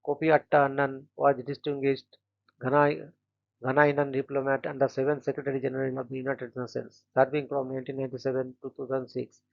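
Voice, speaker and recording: Flat, gravelly man reading biographies. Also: medium pitch, fast, lo-fi sound. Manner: flat, steady reading with stumbles on names. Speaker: host of a daily events channel.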